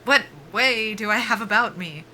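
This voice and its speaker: An actress playing an anxious, self-deprecating young woman soldier in a sci-fi audio drama, halting and wry in a naturalistic style. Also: fairly high pitch, bright, forceful tone, a little hiss or hum, rough recording. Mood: flustered, wry.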